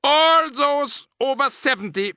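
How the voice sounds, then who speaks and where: A man reading a storybook aloud, voicing a shrill, imperious old female witch queen who draws out her words and barks commands. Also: expressive, bright, forceful tone, dry recording, studio quality, heavily acted. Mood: commanding. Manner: drawn-out, shrieking and commanding.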